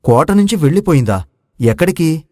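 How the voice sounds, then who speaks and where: A man's voice: on the high side, sing-song, a little fast, a little mellow, dry recording, clean studio sound. A man voicing a dutiful young prince, eager for adventure, speaking respectfully in a dramatized moral story. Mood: curious.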